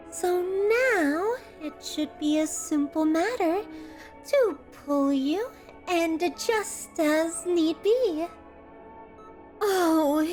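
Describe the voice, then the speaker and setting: A very high, sweet-pitched yandere woman speaks extremely slowly, savoring ornate phrases. She is doting and possessive, with a genteel menace suited to an ASMR roleplay. Music plays clearly beneath her. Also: darkish tone, exaggerated voice. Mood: pleased, coaxing. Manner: slow, ornate, tender, possessive delivery. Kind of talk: scripted ASMR roleplay, theatrical monologue. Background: background music.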